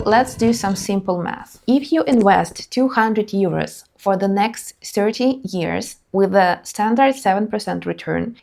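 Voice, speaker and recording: A woman's voice: mid-range voice, vocal fry, a little mellow. Upbeat woman with Ukrainian roots. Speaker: YouTube creator. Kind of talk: scripted advice vlog.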